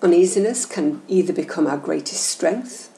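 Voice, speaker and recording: Female meditation host, soothing instructional delivery, gravelly fry in the voice, slight background noise. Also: fairly low pitch. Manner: gentle, measured, instructional. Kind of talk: slow, soothing guided meditation narration. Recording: roomy echo, faint background noise.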